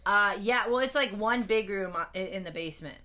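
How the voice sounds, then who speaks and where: A young woman with a hoarse voice, a podcast guest chatting casually at home, laughing often and trailing off mid-sentence. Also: medium pitch, a little fast, bright, forceful tone. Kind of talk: casual interview chat. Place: family home.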